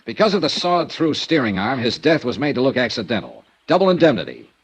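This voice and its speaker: A man's voice: mid-range voice, sing-song, fast talker, breathy edge. A man playing a hard-boiled male insurance investigator in a 1950s radio drama, with clipped, dry tough-guy delivery, narrating and questioning. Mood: probing. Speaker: lead actor and narrator.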